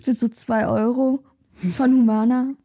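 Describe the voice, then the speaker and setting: Woman in her 30s podcasting, dark mellow voice, dry close sound, laughs a lot. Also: on the high side, level tone, a little slow, no echo. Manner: laughs often, teasing. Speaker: podcast co-host. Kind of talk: casual chat.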